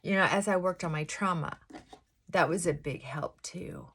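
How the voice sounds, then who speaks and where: A middle-aged woman vlogging casually while doing her makeup, reflective and confessional, with a fairly high voice she deliberately pulls down and soft whispered asides. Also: a little hiss or hum. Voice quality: fairly high-pitched voice she works to pull down. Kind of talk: casual get-ready-with-me chat.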